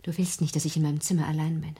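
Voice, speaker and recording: A reclusive grown daughter in a radio drama, haunted by her own home. Her voice is low and very breathy, close to whispering, with an intense, brooding, fearful edge that turns to accusation. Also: level tone, very fast, dark tone. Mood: accusing. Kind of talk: radio play acting.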